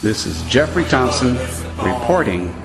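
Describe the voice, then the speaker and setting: A man narrating a scripted report as a student reporter, reading aloud in a deliberate way. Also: medium pitch, big pitch swings, over music.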